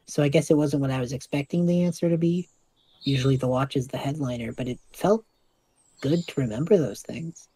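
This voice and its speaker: A woman voicing a young male magic student in an audio drama, earnest, awkward and self-deprecating, speaking haltingly. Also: extremely low pitch, mellow, husky. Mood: earnest, reflective. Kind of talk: audio drama dialogue, naturalistic.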